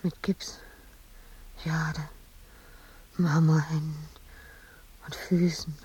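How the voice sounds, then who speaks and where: An actress playing an anguished, exhausted, obsessive woman sculptor in a radio drama monologue, speaking in halting fragments that often sink into breathy whispers. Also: lowish voice, dark tone, full character voice. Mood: entranced, murmuring.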